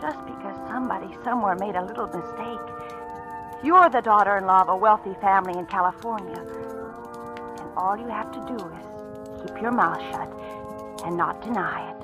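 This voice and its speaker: An anxious, defensive young woman played by an actress in a vintage radio suspense play. She speaks at a middling pitch with a dramatic, confessional delivery. Music plays clearly behind her in an old broadcast recording. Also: moderate pace, natural voice. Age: young adult. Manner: confessional, dramatic, hesitant in dialogue. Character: anxious, defensive young divorcee living under false identity. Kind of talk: 1940s radio drama narration and acting.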